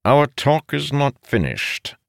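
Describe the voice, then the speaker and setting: A man voicing a weary, authoritative father of grown sons in an audiobook, stern and measured but placating. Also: sits low, lively intonation, dry recording, studio quality, voice pushed up. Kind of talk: audiobook character voice.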